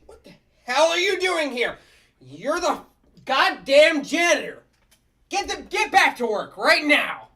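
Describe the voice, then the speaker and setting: A gruff, boastful puppet guy from a sitcom, acted by a woman, a bit off the mic. The delivery is unhurried but swings widely, full of bluster and exclamation. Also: sits high, sing-song, bright, forceful tone, distant mic, exaggerated voice. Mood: blustery. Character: gruff, excitable, boastful male puppet lawyer. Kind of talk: sitcom table read.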